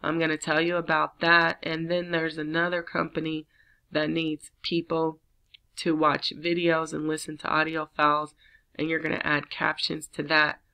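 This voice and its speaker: A woman with a slightly raspy voice from a cold, chatting casually as she walks viewers through a job listing. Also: low voice, completely flat delivery, moderate pace, pushed and bright, poor audio. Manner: chatty, repetitive, encouraging viewers. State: under the weather, slightly raspy. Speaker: work-from-home job channel host. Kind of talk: casual screen-share walkthrough.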